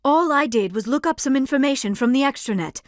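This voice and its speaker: A woman's voice: high voice, expressive, brisk, no room sound, clean studio sound. A woman voicing a shy, earnest alien scientist in a video game, speaking in careful, formal sentences with precise diction. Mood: defensive. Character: earnest, shy alien female scientist, socially awkward, scholarly.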